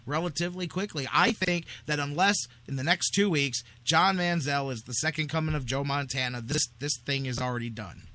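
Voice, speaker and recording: Male sports talk host. Higher-than-average pitch, very forceful tone, argumentative and emphatic. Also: a little fast, few pauses, a little hiss or hum. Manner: emphatic, argumentative, repeats phrases for stress. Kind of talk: sports talk radio debate.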